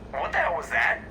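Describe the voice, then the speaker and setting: A man's voice: a little high, bright, forceful tone, exaggerated voice. A man playing a cocky, wisecracking shuttle pilot in a video game, sarcastic and teasing, heard over a radio link. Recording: noisy vehicle radio comms.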